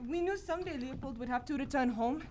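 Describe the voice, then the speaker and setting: A woman playing a gentle, formal, devoted companion in live radio theater before an audience. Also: high-pitched, fast, recorded from a distance, lightly acted. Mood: gentle, wistful. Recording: live stage recording, loud crowd and noise.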